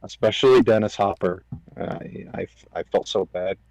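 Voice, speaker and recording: A man's voice: lowish voice, moderate pace, darkish tone, no echo, rough recording. A man chatting casually as a podcast guest, slangy and full of opinions. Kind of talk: casual chat.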